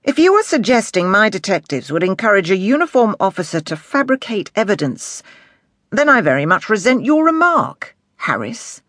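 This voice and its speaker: A man voicing a brash, commanding police detective inspector in an audiobook, with a forceful, blunt delivery. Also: high-pitched, very animated, moderate pace, no echo, clean studio sound, voice pushed up, full character voice. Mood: indignant. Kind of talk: audiobook character dialogue.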